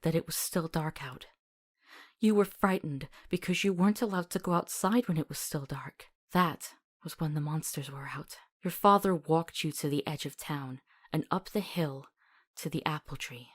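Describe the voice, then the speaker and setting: A woman playing a compassionate, earnest and stubborn companion to a monster hunter in a visual novel. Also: low-pitched.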